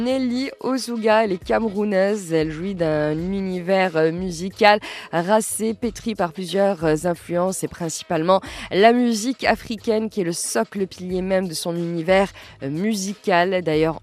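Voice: medium pitch, normal speed. A radio culture show host presenting a film review and introducing a guest from a prepared text, with some fillers.

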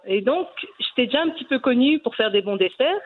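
A woman's voice: high voice, lively intonation, fairly quick, phone-quality sound. A woman in her forties from Belgium, a cooking YouTuber answering interview questions earnestly, with many hesitations. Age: 40s.

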